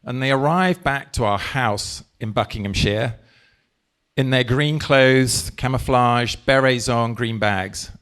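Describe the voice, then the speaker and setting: Man from england, live campaign speech, handheld mic, emphatic, slows down to stress points. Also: medium pitch, fairly bright tone, smooth. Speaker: party leader giving a talk.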